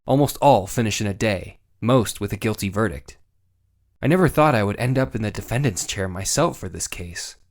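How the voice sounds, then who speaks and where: Woman as a very low-voiced young male lawyer. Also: animated, moderate pace, darkish tone. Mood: brooding. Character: earnest, wry young male defense lawyer. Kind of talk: video game fan dub with narration.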